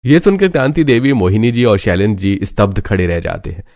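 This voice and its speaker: A man's voice: lowish voice, a little fast, a little mellow, no room sound, clean studio sound. A man reading a scripted family story as narrator. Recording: music bed under the voice.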